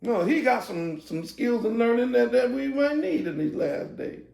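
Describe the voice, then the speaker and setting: A man preaches in a high, gravelly voice. He shouts and laughs through his asides, then slows down to read scripture. The room rings with echo. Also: expressive. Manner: loose, shouting and laughing, then slow verse reading. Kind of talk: street-style sermon teaching.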